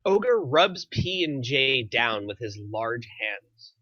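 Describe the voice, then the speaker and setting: A man's voice: mid-range voice, big pitch swings, slow, sharp tone, no room sound, lo-fi sound. A man reading screenplay stage directions aloud as the narrator of a table read.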